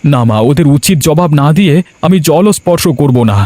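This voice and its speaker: Man acting a high-voiced righteous young officer. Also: fairly quick, mellow, dry recording, close to an everyday voice. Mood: earnest, resolute. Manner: earnest and emphatic. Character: righteous, defiant young land officer, devoted to his mother. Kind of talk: Bengali cartoon voice acting. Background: music.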